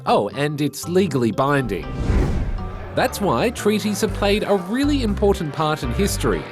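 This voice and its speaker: A man's voice: on the high side, very animated, normal speed. A man with an Australian accent narrating a scripted news explainer with conversational asides. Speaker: news reporter. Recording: voice-over with music underneath.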